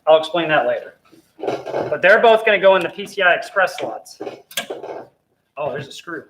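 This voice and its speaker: A man's voice: on the high side, normal speed. A young man from South Carolina, casually explaining a computer build as he works in a hot studio. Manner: relaxed, chatty, explains as he works. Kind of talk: casual hands-on demo chat. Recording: noisy studio recording.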